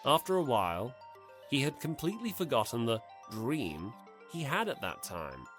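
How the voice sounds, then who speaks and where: A man narrating an audiobook, reading scripted prose and notes in a dramatic, expressive way. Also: medium pitch, moderate pace, faint music behind.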